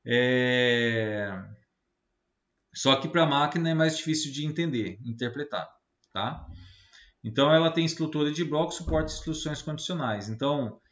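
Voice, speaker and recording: A man's voice: mid-range voice, normal speed, fairly bright tone. A Brazilian man giving an informal technical lecture as a teacher, explaining didactically and often adding short tag questions to check understanding. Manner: didactic, with frequent tag questions seeking agreement. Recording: roomy, slightly muffled lo-fi sound.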